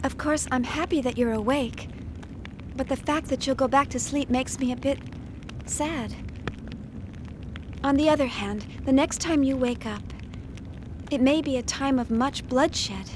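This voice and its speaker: High-voiced, caring young female engineer. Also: unhurried, long pauses, background noise. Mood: wistful, tender. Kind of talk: video game voice acting.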